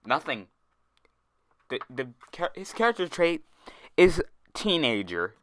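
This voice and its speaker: A man's voice: on the high side, very animated, slow, a bit bright, slight background noise. A young man ranting in an online commentary video, exasperated and often tripping over and restarting his words, close to a dry mic. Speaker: YouTube commentator.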